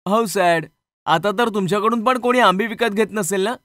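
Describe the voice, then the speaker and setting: Man playing an eager high-pitched young man. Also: normal speed, a bit bright, no echo, studio quality. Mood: eager, curious. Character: eager, curious young man visiting his hometown.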